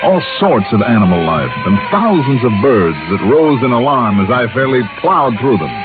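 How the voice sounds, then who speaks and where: A man's voice: mid-range voice, very animated, loud music behind the voice, natural voice. An actor playing a wry, easygoing insurance investigator, narrating his case conversationally in 1950s radio detective style. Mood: wry, vivid storytelling. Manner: conversational, folksy asides, hesitant pauses. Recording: tinny old radio broadcast recording.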